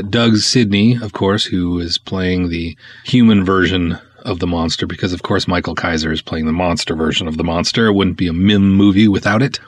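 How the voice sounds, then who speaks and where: A man's voice: sits low, breathy edge, no room sound. A man hosting a podcast as a filmmaker, giving a casual solo update in a conversational, enthusiastic way with emphatic stresses. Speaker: podcast host, film writer-director.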